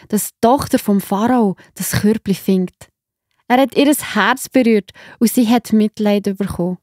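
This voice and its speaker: A woman's voice: a little high, dark tone, dry recording, studio quality. A woman with a Swiss accent narrating a children's story in a chatty way, speaking directly to her listeners.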